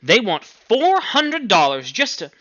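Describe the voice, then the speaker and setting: A man's voice: high-pitched, huge pitch swings, measured pace, bright, forceful tone, dry recording, highs cut off, pitched above the performer's own voice, heavily acted. A man voicing an anxious, overwrought middle-aged mother in an audiobook story, fretful and emphatic as she scolds. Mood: fretful, gossipy.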